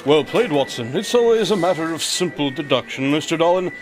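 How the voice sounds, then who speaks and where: A man acting an aloof, pedantic gentleman guest in a radio drama, speaking in a precise, formal, wordy way. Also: on the high side, lively intonation, brisk, soft background music, close to an everyday voice. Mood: smug, dry.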